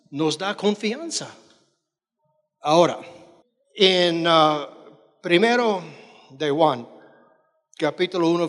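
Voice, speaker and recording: An older man preaching a sermon with personal testimony in non-native Spanish, speaking emphatically in short, deliberate, repeated phrases. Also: high-pitched, a bit bright. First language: not Spanish. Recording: long hall reverberation.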